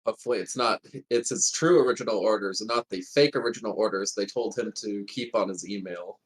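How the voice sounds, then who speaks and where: A man's voice: on the low side, fairly quick, husky, low-quality recording. A man making casual, joking asides as a player in a tabletop roleplaying game.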